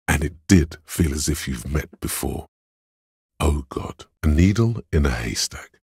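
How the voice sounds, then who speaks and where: An actor playing a cool-headed, analytical inner voice of logic in a video game, measured, deliberate and matter-of-fact, with a gravelly, husky bass. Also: male voice, darkish tone, dry recording, studio quality, heavily acted. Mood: dry, reasoning. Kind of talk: scripted game narration as an inner voice.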